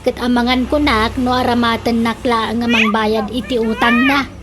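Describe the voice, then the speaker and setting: High-pitched fussing mother played by a woman. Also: level tone, normal speed, close to an everyday voice. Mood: fussing, complaining. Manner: exclamatory, fussing. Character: excitable, scolding Filipino mother in a domestic comedy. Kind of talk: comedic radio drama acting. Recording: very noisy radio drama track.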